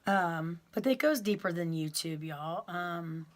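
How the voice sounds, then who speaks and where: A woman, talking slowly to her viewers. She rambles and restarts often, with plenty of ums. Her voice is loud and carries. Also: lowish voice, expressive. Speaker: YouTuber telling her prison story. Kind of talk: casual storytelling to viewers.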